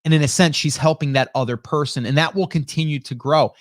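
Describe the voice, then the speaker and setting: A man in his late forties speaks in a fairly high register on a close, very dry broadcast microphone. He hosts a live stream as a coach, chatting informally and addressing viewers directly with frequent check-ins. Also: steady tone, fairly quick, no echo. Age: late 40s. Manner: conversational, greets viewers by name, rhetorical check-ins. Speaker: host, online marketing coach. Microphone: close broadcast dynamic microphone.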